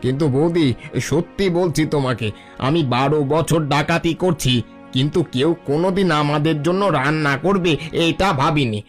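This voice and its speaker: A soft-hearted cartoon bandit speaks over background music, voiced by a man. His pitch sits a little high for a male voice. He talks humbly and earnestly, in plain, familiar words. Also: normal speed, lightly acted. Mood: earnest, amazed. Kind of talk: Bengali cartoon story voice acting. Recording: music playing under the voice.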